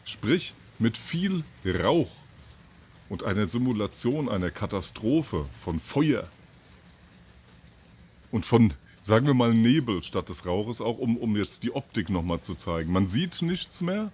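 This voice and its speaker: A man in his fifties giving an informal, halting monologue to camera, thinking aloud with pauses and repeats. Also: on the low side, sing-song, a little mellow, slightly rough. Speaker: video channel host. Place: outdoors on a terrace or balcony by trees. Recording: outdoor ambient noise.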